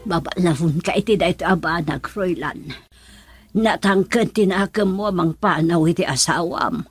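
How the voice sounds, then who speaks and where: A woman's voice: fairly low pitch, normal speed, breathy edge, no room sound, soft background music. A woman playing a worried, disapproving older mother in an Ilocano radio drama, with a Filipino accent. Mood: worried reproach.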